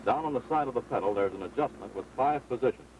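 A man's voice: medium pitch, fast talker, darkish tone. An American man giving scripted, step-by-step instruction as a flight instructor in a 1940s training film. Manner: clear, orderly step-by-step instructing. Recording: muffled, noisy 1940s film soundtrack.

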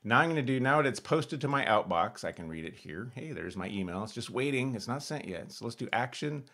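A man's voice: medium pitch, animated, brisk, a bit bright. An American man in his fifties giving a casual tutorial, thinking aloud as he narrates each step, recorded in a small room at home. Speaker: host of an amateur radio tutorial. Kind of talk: casual screen-share demonstration.

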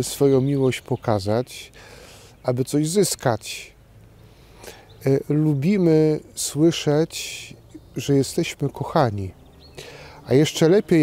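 Slow, mellow male priest, outdoor noise. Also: on the high side. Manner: measured, deliberate phrasing with pauses. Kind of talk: short scripted homily to camera. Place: outdoors in a park.

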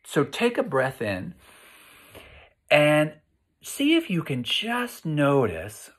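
Slow-paced, expressive middle-aged American man. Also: a little high. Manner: unhurried, explanatory, asks listeners questions. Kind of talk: read-aloud and gentle guidance for children. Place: small living room, indoors.

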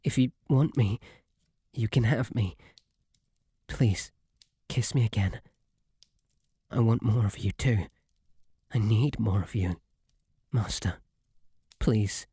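A man with a British accent voicing a shy, devoted, submissive bunny butler in an intimate ASMR roleplay, soft, breathy, halting and deferential. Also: fairly low pitch, no echo, clean studio sound, exaggerated voice. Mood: pleading, yearning.

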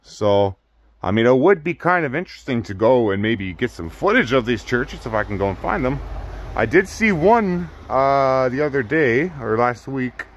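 A middle-aged man giving casual, halting, off-the-cuff commentary as he walks around outdoors with a handheld camera. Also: mid-range voice, lively intonation, highs cut off. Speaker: exploration vlogger. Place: outdoors in snowy burned-out house ruins. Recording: clearly noisy outdoor recording with clicks.

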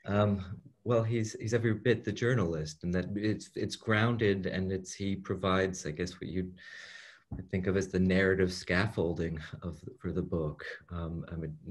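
A middle-aged man speaking as a translator over a video call. His voice is very low. He talks casually and haltingly, restarting his sentences and filling pauses with ums. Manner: hesitant, many fillers and restarts. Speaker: translator guest. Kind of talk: casual conversational talk. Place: room at home with bookshelves.

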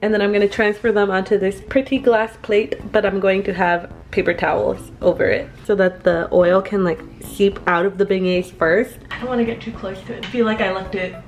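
A woman's voice: mid-range voice, roomy sound. A young woman chatting casually and mocking herself as she cooks in a small home kitchen, filmed by a camera on the counter. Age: young adult. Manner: bubbly, chatty, self-mocking. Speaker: vlogger. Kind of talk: casual cooking vlog.

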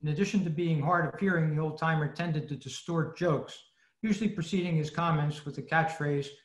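A man reads a prepared history talk to a club in a slightly high voice. The sound is echoey, from an online meeting. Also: brisk. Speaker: club presenter. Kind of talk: scripted talk on old radio history. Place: indoors, online video meeting.

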